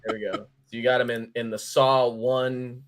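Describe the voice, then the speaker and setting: A young man co-hosting a casual podcast about action figures, excitable, laughing often and trailing off mid-sentence. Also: mid-range voice, normal speed. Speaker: podcast co-host.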